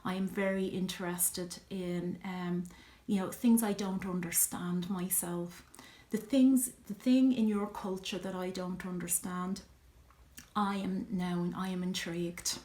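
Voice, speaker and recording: A middle-aged woman with an Irish accent, talking reflectively in a personal vlog, pausing often with ums. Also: on the low side, steady tone, darkish tone, reverberant. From Ireland. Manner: reflective, earnest, many ums and you-knows. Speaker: vlogger.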